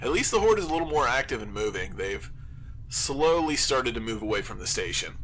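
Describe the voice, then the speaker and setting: An actor playing an anxious, overthinking zombie apocalypse survivor who broadcasts alone, rambling and self-deprecating in a halting confessional radio monologue. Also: male voice, medium pitch, very animated, a bit bright, slight background noise. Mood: weary, resigned.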